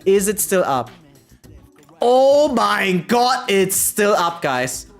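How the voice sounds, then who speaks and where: A streamer with a medium-pitched voice giving casual reaction commentary, reading aloud and chatting in an animated, exclamatory way, often checking in with "right?". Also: slow, gravelly. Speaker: variety streamer. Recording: music behind the voice. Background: music.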